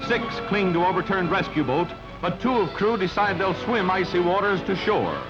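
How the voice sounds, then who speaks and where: A man's voice: high voice, animated, moderate pace. A man reading scripted narration for an old newsreel in a clipped, dramatic, telegraphic delivery. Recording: dull old newsreel soundtrack with music. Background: music.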